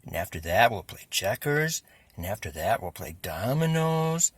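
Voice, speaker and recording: A man's voice: fairly low pitch, big pitch swings, moderate pace, slightly rough, dry recording. A man voicing a boisterous young boy who eagerly plans spooky fun in a children's storybook. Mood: excited. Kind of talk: children's picture-book reading.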